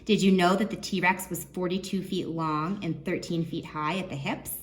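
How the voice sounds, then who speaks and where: A woman children's librarian reading a nonfiction book aloud, expressively, pausing to put questions to young listeners. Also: fairly low pitch, steady tone.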